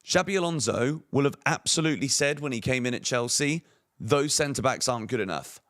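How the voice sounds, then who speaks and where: A man with a croaky, hoarse voice, giving opinionated football transfer news commentary on a fan channel, full of emphatic rhetorical questions. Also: mid-range voice, expressive, brisk, a bit bright.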